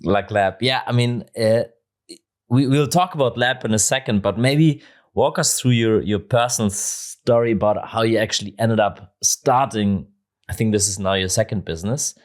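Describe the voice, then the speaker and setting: A man hosting a podcast, chatty and quick with interjections, who laughs easily with his guest. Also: on the low side. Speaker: podcast host. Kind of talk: casual interview chat.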